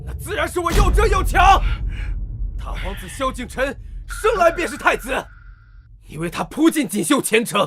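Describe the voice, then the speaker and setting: Resentful prince ranting, super high creaky voice, music behind. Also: male voice, very animated, normal speed, full character voice. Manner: ranting, theatrical. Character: bitter, resentful crown prince, defiant usurping son. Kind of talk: period drama acting.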